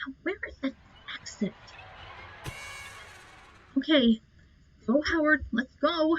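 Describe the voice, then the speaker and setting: A woman's voice: high-pitched, slow pace, a little hiss or hum. A woman giving casual commentary as she plays through a video game. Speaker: gamer presenting a playthrough. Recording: dull lo-fi mic.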